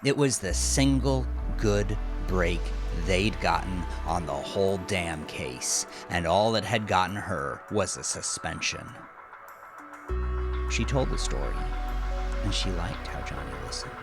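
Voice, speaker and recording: Very slow, deep, gravelly male narrator. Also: expressive, music in the background. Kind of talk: scripted dramatic narration, horror fiction.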